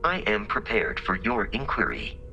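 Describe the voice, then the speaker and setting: A courteous robot companion from a video game, low and crisp-edged. It speaks formally and precisely, with literal-minded dry humor in a deadpan delivery, over faint music. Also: male voice, moderate pace, highs cut off, heavily acted. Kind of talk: scripted video game character dialogue.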